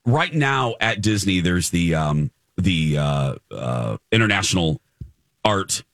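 A man hosting a morning radio show, chatting casually and effusively, often halting and repeating himself. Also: low voice, sing-song, a bit bright, no echo, studio quality. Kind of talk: casual chatty radio talk with personal anecdotes.

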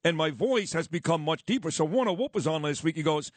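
Radio host guy, a bit high voice, dry studio mic, chatty storyteller. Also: fast, no room sound. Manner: lively storyteller, introducing and reminiscing. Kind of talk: morning radio talk.

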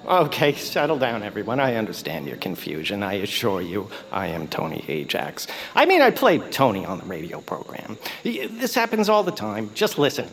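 Fussy washed-up bird radio actor, rambling, swooping pitch, audio drama. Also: male voice, wildly swooping pitch, moderate pace, hardly any pauses, a bit bright, full character voice. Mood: showy. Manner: rambling, flustered, theatrical.

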